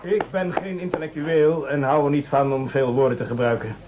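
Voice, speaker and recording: An actor playing a self-righteous, falsely modest would-be cook in a satirical radio play, with pompous, declamatory delivery. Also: male voice, a little high, steady tone, a little fast, heavy background noise, close to an everyday voice. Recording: dull old radio recording.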